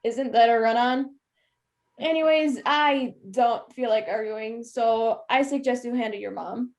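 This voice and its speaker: This is a young woman playing a spoiled, snide college girl in a student play. Her voice sits very high. She talks with sarcasm and open insolence. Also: measured pace, darkish tone, lo-fi sound. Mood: snide, dismissive. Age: young adult. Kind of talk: stage acting in a student one-act play.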